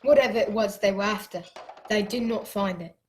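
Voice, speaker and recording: A woman's voice: medium pitch, a little fast, a little mellow, lightly acted. A woman playing an earnest, anxious young boy who narrates and acts in a radio play. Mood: tense narrating. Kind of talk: radio play storytelling and acting.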